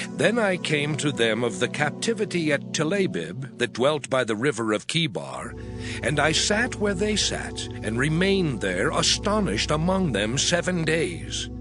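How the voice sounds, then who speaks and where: An actor playing an earnest, awed prophet recounting his vision in a solemn, measured, expressive dramatized scripture narration. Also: mid-range voice. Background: music.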